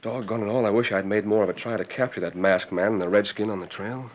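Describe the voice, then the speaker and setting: An actor playing an earnest, henpecked frontier deputy with a rural Western American accent and folksy, hesitant manner in a 1940s radio western. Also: male voice, fairly low pitch, steady tone, quick pace, a little hiss or hum, natural voice. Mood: thoughtful. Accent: rural American West. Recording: dull old 1940s radio recording.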